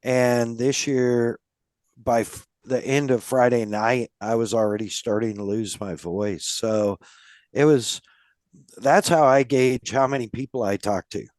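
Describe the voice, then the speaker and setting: A male podcast host talks in a loose, rambling way and keeps circling back with "um" and "you know." His voice is smooth and resonant. The recording is close and dry, with no room sound. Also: medium pitch, steady tone, measured pace, darkish tone, dry recording. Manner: rambling, many fillers and restarts. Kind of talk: casual interview chat.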